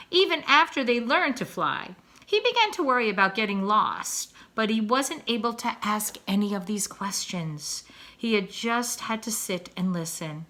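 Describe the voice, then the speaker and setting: Expressive, bright-voiced woman reading a story. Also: on the high side. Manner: dramatic, emphatic. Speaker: story reader. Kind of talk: expressive children's read-aloud narration.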